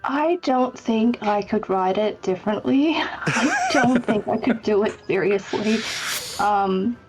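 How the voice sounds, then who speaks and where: A woman's voice: mid-range voice, big pitch swings, slow pace. A woman answering questions in a casual chat as a game writer, hesitant and self-deprecating, on a lo-fi call. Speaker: game writer and project lead. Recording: slightly dull lo-fi online call. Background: background music.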